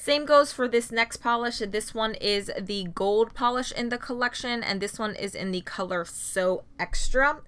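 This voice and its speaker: A woman's voice: mid-range voice, sharp tone. A young woman vlogger giving an upbeat, detailed product demo and review, often saying "like". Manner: upbeat, descriptive, says "like" often. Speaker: beauty vlogger.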